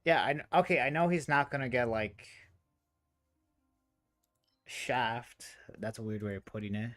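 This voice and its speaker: A man's voice: mid-range voice, steady tone, measured pace, fairly bright tone, faint music behind. A man doing casual live game commentary, informal and muttering to himself as he thinks aloud. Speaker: game streamer.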